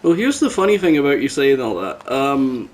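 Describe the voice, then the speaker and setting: A man's voice: mid-range voice, sing-song, normal speed. A man hosting a casual podcast, chatting and teasing his friends. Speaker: podcast host. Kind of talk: casual chat, teasing banter. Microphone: new microphone. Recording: clearly noisy background.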